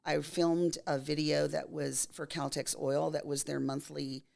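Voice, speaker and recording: A woman in her fifties from Texas, a makeup artist telling stories in a casual interview, her voice scratchy and hoarse from a cold. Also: low voice, flat delivery, unhurried, a little mellow. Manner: chatty storyteller, laughs often.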